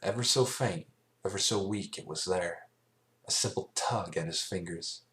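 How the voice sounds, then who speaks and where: A man narrating scripted fan fiction as an amateur reader, halting and stumbling over phrases now and then. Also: deep voice, fairly bright tone.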